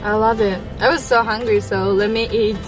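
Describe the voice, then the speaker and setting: A young woman from Japan, speaking English with a Japanese accent in a soft, unhurried way. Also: medium pitch, steady tone, over loud music, low-quality recording. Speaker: guest. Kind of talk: casual chat.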